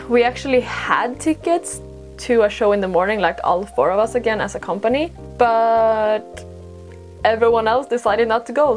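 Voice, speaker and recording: A young woman from Sweden, a native Swedish speaker, chatting casually and telling stories in an informal, self-deprecating way with rambling asides. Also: on the high side. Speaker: podcast host and vlogger. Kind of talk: casual chatty storytelling to camera.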